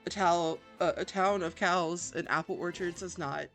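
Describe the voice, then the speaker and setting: A woman's voice: on the low side, fairly even intonation, normal speed, fairly bright tone, faint music behind. A woman from the Virginia mountains giving chatty, casual playthrough commentary with joking asides to viewers. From Virginia, Appalachian Mountains, US. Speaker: gaming video host.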